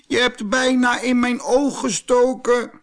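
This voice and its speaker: Man voicing a high, cross little jack-in-the-box man. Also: steady tone, measured pace, a bit bright, heavily acted. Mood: pained, annoyed.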